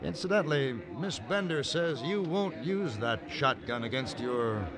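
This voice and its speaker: A man playing a cool, fearless hired gunman in an old-time radio western, wry, unhurried and commanding. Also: medium pitch, lively intonation. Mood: wry, calm. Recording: vintage radio recording, crowd murmur behind.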